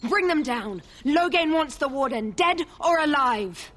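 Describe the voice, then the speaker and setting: A woman's voice: sits high, lively intonation, a little slow, fairly bright tone. An actress playing a stern, proud woman knight in a video game, speaking formally with scornful authority. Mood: commanding.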